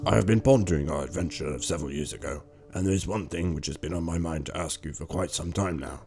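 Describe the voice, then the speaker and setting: A man acts as an old exiled king with an extremely low voice, measured and formal in the manner of a gentle grandfather telling his history. It is amateur radio drama acting, with music clearly playing behind him. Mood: thoughtful. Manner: measured, formal, deliberate storytelling.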